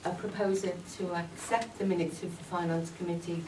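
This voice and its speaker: A woman chairing a formal council meeting, moving briskly through votes and agenda items. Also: lowish voice, fairly even intonation. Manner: brisk, procedural. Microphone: far room mic. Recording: echoey hall, far mic, clear hiss.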